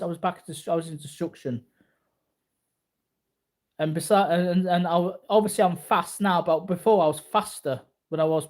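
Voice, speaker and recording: A man in his early forties with a slightly high voice speaks off the cuff to his viewers. He rambles in a casual, boastful way, circling back and repeating his phrases. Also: darkish tone. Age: early 40s. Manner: repetitive, boastful. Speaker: martial arts vlogger.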